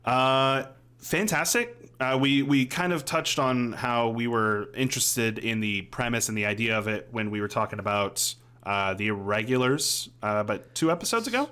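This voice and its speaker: A man's voice: mid-range voice, animated, moderate pace, pushed and bright, smooth. A man in his thirties co-hosts a podcast with an ordinary mid-range voice. He reviews shows informally, rambling a little as he goes, speaking from a room at home over a video call. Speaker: podcast co-host. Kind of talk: casual chat, reviewing TV shows.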